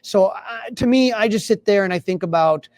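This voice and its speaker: A man with a high voice talks sports as a podcast co-host. He is opinionated and emphatic in a casual fan's way, and the sound is close and dry. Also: moderate pace, darkish tone, dry recording. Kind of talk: casual sports talk and commentary.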